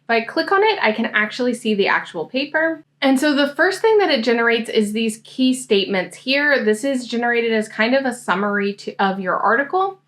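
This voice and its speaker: Woman, slightly high voice, explains software step by step for a tutorial. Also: expressive, fairly quick, few pauses. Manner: friendly, explanatory screen-demo talk. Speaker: science grad school coach.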